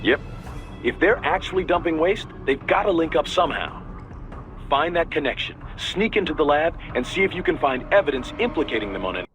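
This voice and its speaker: A man's voice: a little high, sing-song, brisk, over music. A man playing a laid-back military handler in a video game, casual and dryly sarcastic. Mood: briefing, wry.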